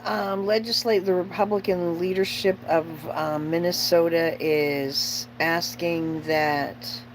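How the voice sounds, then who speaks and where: A woman's voice: fairly low pitch, a little hiss or hum, low-quality recording. A middle-aged woman chatting casually on a livestream as host, rambling and opinionated, bluntly repeating herself for emphasis.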